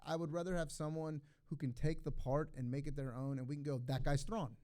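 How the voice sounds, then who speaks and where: A man talks casually as a podcast panelist. His voice sits a little high but has a mellow, rounded tone. He states opinions with emphasis, often repeating a phrase to drive it home. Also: fairly even intonation, fairly quick. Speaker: podcast host and collector. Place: live on stage at a collectors convention.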